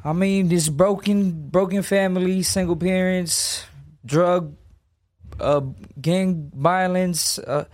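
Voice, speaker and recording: A man's voice: sits high, fairly even intonation, slow, darkish tone. A man in his twenties from Los Angeles, a boxer talking casually in an interview, full of slang and emphatic asides. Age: 20s. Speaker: boxer guest.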